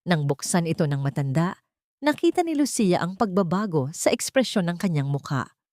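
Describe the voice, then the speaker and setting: Low-pitched woman narrating drama. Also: big pitch swings, moderate pace, a little mellow, no room sound, clean studio sound. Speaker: audiobook narrator. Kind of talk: scripted dramatic story narration.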